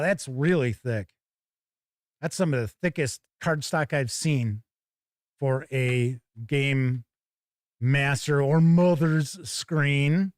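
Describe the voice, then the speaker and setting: A man's voice: fairly high pitch, no echo, clean studio sound. A man hosting a tabletop gaming livestream, chatting casually and informally to viewers as he thinks aloud. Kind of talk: casual live unboxing chat to viewers.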